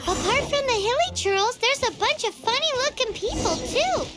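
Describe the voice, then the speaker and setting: Squeaky, very high-pitched fairy girl. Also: expressive, normal speed, loud music behind the voice. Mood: puzzled. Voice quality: squeaky, childlike. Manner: refers to self in third person, inquisitive. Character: tiny excitable floating fairy-like girl companion. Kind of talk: video game voice acting.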